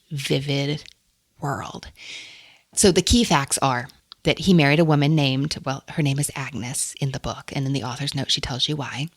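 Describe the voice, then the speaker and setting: Low gravelly-voiced woman host. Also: dry recording, clean studio sound. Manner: enthusiastic, laughs often. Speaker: podcast host. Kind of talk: casual book talk.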